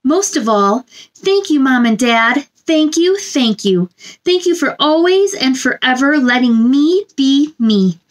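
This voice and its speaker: Female children's read-aloud host, thirties, high voice, expressive and simple delivery for young viewers. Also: slow pace. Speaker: children's story time host. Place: small indoor room.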